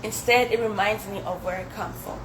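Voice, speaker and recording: A young woman recites spoken word poetry in a measured, reflective way. Her shapes her vowels. She is caught in a roomy hall, with steady background noise around her. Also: mid-range voice. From Zambia. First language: Swahili. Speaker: poet. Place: indoor dinner event hall.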